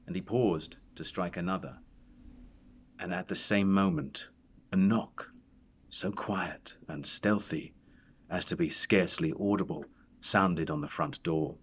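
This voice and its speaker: A man narrating a horror story in a dramatic, suspenseful and deliberate way. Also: low-pitched, fairly bright tone, slightly rough. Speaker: story reader.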